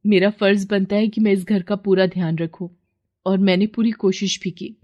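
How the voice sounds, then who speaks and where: Dutiful young wife, played by a female narrator; humble, kind, slightly raised pitch, storybook delivery. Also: steady tone, moderate pace, a little mellow, lo-fi sound, close to an everyday voice. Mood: gentle, forgiving. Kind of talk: moral story dramatization.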